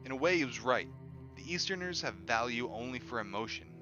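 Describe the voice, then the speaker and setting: A man reading a book aloud in an expressive style. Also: mid-range voice, a little fast. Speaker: reader of an audiobook on a stream. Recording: dull lo-fi audio with music behind. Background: music.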